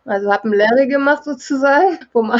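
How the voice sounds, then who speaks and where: A woman's voice: sits high, fast, lo-fi sound. A woman in her thirties from Berlin, chatting candidly as a podcast guest and laughing easily. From Berlin, Germany. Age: 30s. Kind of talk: casual interview chat.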